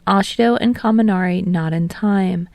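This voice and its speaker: Dry, close-sounding woman story narrator, slightly creaky. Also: mid-range voice, moderate pace, a little mellow, dry recording. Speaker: audiobook fiction reader.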